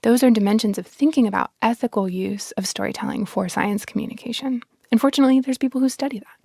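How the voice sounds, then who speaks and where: Thoughtful woman with a husky edge. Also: a little high, quick pace, warm tone, no room sound. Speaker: interview guest, science storytelling advocate. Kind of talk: conversational interview.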